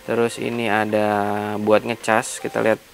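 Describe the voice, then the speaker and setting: A man's voice: lowish voice, completely flat delivery, moderate pace, creaky, soft background music. A man with a colloquial Jakarta Indonesian accent, chatting informally as he explains and unboxes gear, thinking aloud as he goes. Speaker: motovlogger, product unboxing host. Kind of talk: casual unscripted unboxing and tutorial chat.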